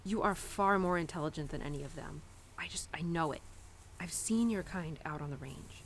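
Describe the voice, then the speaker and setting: A woman's voice: low-pitched, normal speed, a little hiss or hum. A woman playing a young, animal-loving cowgirl who confides tenderly in her horse in an intimate, casual, rambling audio roleplay. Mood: admiring, earnest. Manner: casual, rambling, sighing and giggly.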